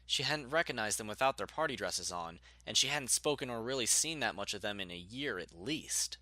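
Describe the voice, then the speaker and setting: A man narrating a scripted story in an expressive storytelling manner. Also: fairly low pitch, brisk, no room sound.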